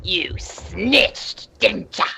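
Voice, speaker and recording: Ghostly cartoon bandit played by a woman: threatening, deep for her, slow, highly animated. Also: vocal fry, slight background noise, full character voice. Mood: menacing. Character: menacing, sly ghostly highwayman.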